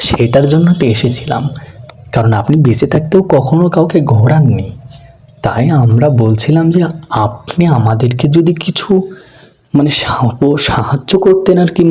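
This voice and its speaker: A man playing a sly, flattering village man in a cartoon, with an ingratiating, rambling way of talking. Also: mid-range voice, natural voice. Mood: pleading, deferential. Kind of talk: cartoon voice acting.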